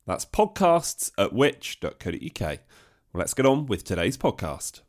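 A man's voice: medium pitch, sing-song, normal speed. A man with a British accent presenting a scripted podcast introduction in a chatty, welcoming way. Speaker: podcast host.

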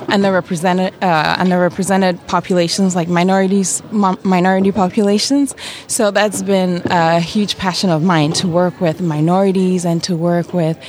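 Young woman, low pitch, earnest, interview answer. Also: a little mellow, slight background noise. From Ghana. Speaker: premed student. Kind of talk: vox pop interview.

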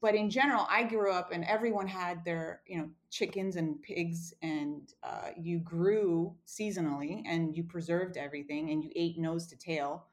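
A woman's voice: on the low side, steady tone, normal speed, few pauses. A woman from Romania, a cookbook author, telling a personal story in a casual interview, with many fillers and restarts.

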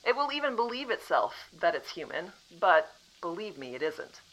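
A woman acting a composed female official from the future in an audio drama, calmly apologetic and matter-of-fact. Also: on the low side, moderate pace, low-quality recording.

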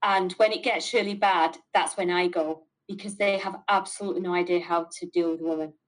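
A woman's voice: fairly low pitch, steady tone, fairly quick, rough recording. A middle-aged woman with a Mancunian accent, a business owner answering interview questions candidly and stressing points by repeating words. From north of England.

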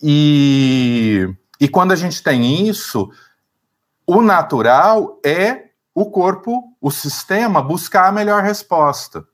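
Animated man explaining thoughtfully. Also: a little high, slow pace. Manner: thoughtful, searching for words, frequent fillers. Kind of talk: conversational explanation.

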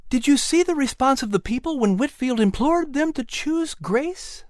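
A woman's voice: high voice, expressive, moderate pace, a little mellow, no room sound, studio quality, voice pushed up. A woman playing a spirited, devout colonial mother in a children's story, speaking emphatically. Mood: passionate, defensive.

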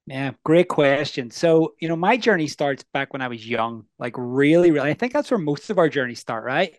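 A man in his thirties with a Northern Irish accent, telling his story as a coaching guest in an earnest, energetic way. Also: a little high, moderate pace, no room sound. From Northern Ireland. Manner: energetic, earnest, asks the listener questions. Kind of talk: casual interview storytelling.

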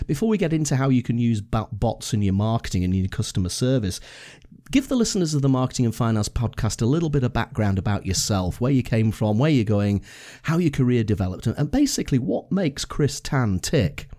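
A man's voice: medium pitch, very animated, brisk, dark tone. A man from the UK hosting a podcast interview over a video call, friendly and promotional, asking open questions. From United Kingdom. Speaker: podcast host.

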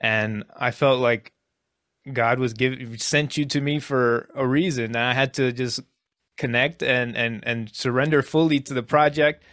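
A man's voice: medium pitch, steady tone, a little slow, a bit bright, no room sound, studio quality. A man hosting a podcast interview, speaking earnestly and appreciatively, with frequent restarts. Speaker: podcast host.

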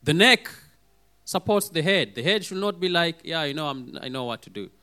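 A man with a hoarse voice preaching a sermon, calling out for amens and acting out little quoted voices as he goes. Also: a little high, moderate pace. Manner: lively, calls for amens, acts out quoted voices.

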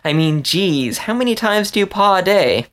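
A man's voice: sits high, very animated, moderate pace, fairly bright tone, voice pushed up. A man voicing a fussy, exasperated young female mouse in a story reading. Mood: exasperated teasing.

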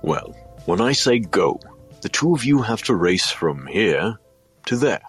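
An actor playing a stately, encouraging alien trainer with a formal, measured manner in an audio drama. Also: male voice, on the low side, very animated, slightly rough, faint music behind, heavily acted. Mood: instructive.

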